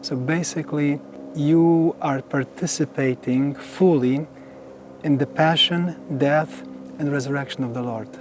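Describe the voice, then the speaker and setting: A man whose first language is Polish, a monk explaining his monastery grounds on a tour in slow, earnest English. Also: fairly high pitch, steady tone, a little mellow, a little hiss or hum. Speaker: Carmelite monk giving a tour. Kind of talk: casual guided tour conversation.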